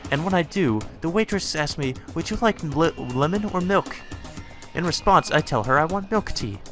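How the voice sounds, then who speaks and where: A man voicing a haughty, spoiled young woman with prim, formal diction and a sharp temper, in a visual novel. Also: fairly high pitch, expressive, moderate pace, faint music behind, voice pushed up. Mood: haughty, lecturing. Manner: prim formal diction that snaps into foul-mouthed commands.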